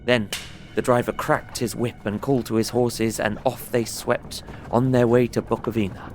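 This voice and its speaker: An actor playing a young, earnest traveller, narrating his diary in a measured, uneasy way. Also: medium pitch, flat delivery, a little mellow, slight background noise, lightly acted. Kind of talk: dramatised first-person diary narration.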